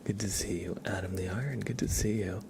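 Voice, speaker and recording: A man hosting an ASMR livestream in a breathy whisper, speaking in a gentle, unhurried, soothing way. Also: noisy background. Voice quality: breathy, whispered, low creaky voice. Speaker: ASMR live streamer, host.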